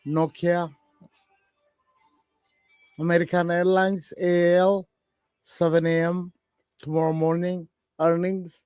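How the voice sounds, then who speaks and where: A man in his forties hosting live trading radio, reading out earnings numbers slowly and haltingly. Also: high-pitched, flat delivery, darkish tone, smooth, no echo, faint music behind. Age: 40s. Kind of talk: live market commentary.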